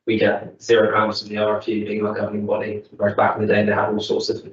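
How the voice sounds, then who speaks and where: A man's voice: lowish voice, monotone, fast, far from the mic. A man representing a sports club, answering a committee's questions at a council meeting in a plain, matter-of-fact way with many ums. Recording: dull, roomy sound from off-mic.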